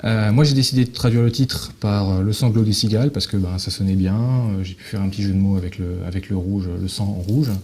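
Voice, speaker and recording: Man, thirties, low pitch, mellow dark tone, hesitant phrasing, casual interview guest. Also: fast, hardly any pauses, slight background noise. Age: 30s. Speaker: guest, Japanese-French translator. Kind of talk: casual explanatory interview talk.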